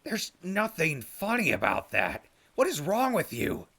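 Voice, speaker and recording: A man playing a wry, weary, good-hearted and easily exasperated wasteland survivor in an audio drama, with a husky, gravelly voice. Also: high-pitched, wildly swooping pitch, unhurried, pushed and bright, full character voice. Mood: alarmed. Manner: sarcastic, colloquial, exasperated. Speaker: protagonist and narrator. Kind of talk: post-apocalyptic audio drama acting.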